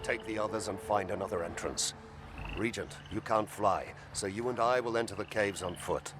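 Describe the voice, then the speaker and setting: An actor playing a stern, level-headed knight commander in a video game, speaking formally and with authority. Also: male voice, on the low side, normal speed, background noise, natural voice. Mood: commanding. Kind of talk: scripted video game dialogue.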